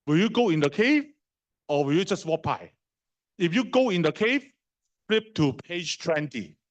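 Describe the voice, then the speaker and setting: Hong Kong-accented male lecturer, slightly high voice. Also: big pitch swings, dull audio. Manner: conversational, fast and slow in turns, asks the class questions; non-native grammar, fillers and restarts. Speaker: university lecturer. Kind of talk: live lecture.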